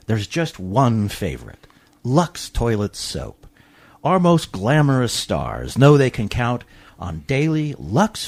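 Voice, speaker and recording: A man's voice: medium pitch, gravelly, a little mellow, slightly rough, exaggerated voice. A man playing a grand Hollywood radio producer who hosts and narrates in a theatrical, polished 1940s style. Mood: effusive.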